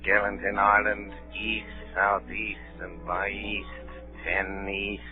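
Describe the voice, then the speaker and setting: An actor playing a wily, bullying, folksy old pirate leader in 1930s radio drama, theatrical, shifting between menace and coaxing. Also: male voice, lowish voice, completely flat delivery, slow. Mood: shrewd, brooding. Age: older. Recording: scratchy 1930s radio broadcast, narrow band, music.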